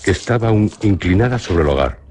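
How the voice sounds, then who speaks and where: A man with a Spanish accent from Spain narrating a radio drama in a measured, solemn way. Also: on the low side, expressive, a little mellow.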